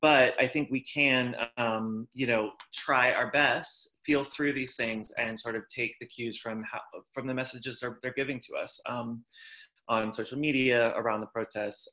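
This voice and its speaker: Man, bright tone, rambling, hesitant, many fillers, video call. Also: mid-range voice, fairly even intonation, a little slow, low-quality recording. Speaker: workshop participant. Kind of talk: casual discussion on a video call.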